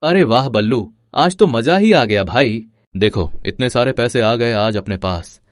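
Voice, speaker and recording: A man's voice: medium pitch, expressive, measured pace, dry recording, clean studio sound, natural voice. A man voicing a crafty, greedy village sidekick in a cartoon story, speaking in an emphatic, scheming way. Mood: gleeful. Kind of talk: Hindi cartoon moral story dialogue.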